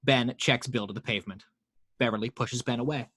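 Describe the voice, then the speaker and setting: A man's voice: mid-range voice, steady tone, a bit bright, slightly rough, no echo, clean studio sound. A man narrating the stage directions at a casual home table read. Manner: brisk reading of stage directions. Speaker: narrator reading action lines.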